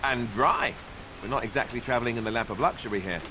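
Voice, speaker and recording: An actor playing an awkward, joking young templar warrior in a video game, with a sheepish, flippant, hesitant manner. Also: male voice, medium pitch, fast, background noise, natural voice. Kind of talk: video game dialogue acting.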